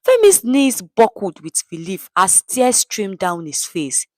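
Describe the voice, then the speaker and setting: A woman's voice: mid-range voice, very animated, measured pace, a little mellow, no room sound, studio quality. A woman with a Nigerian accent narrating a scripted story in a dramatic storytelling style.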